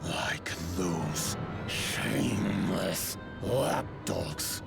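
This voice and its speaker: Game giant: haughty conqueror, deep gravel, ponderous halting delivery, solemn and scornful. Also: male voice, lively intonation, loud music behind the voice, heavily acted. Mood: proud, scornful. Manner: slow, halting, solemn, archaic phrasing. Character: grim, proud giant conqueror speaking slowly and haughtily. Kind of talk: video game voice acting.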